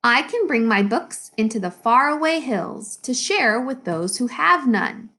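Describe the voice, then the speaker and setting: A woman's voice: fairly high pitch, lively intonation, unhurried, bright, forceful tone, exaggerated voice. A woman voicing a kind, book-loving village man in a children's storybook, earnest and eager. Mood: earnest, hopeful.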